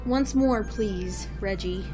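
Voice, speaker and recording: A woman's voice: mid-range voice, slow, music in the background, natural voice. A woman playing a breezy, sarcastic leader who feigns ditzy remorse in a comic audio drama. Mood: flippant, sarcastic. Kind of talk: audio drama comedy acting.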